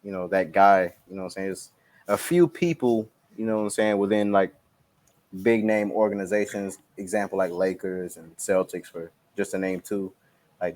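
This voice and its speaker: A man's voice: low-pitched, darkish tone. A man co-hosting a podcast, talking in a laid-back, rambling way. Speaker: podcast co-host. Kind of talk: casual chat.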